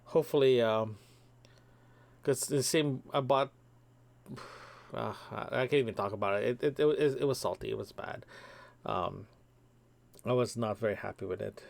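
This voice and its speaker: Man, young adult, from Toronto, rambling audio diary, lots of ums and restarts, mid-pitched voice. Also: normal speed, vocal fry, long pauses. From Toronto, Canada. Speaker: podcast host. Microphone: single microphone.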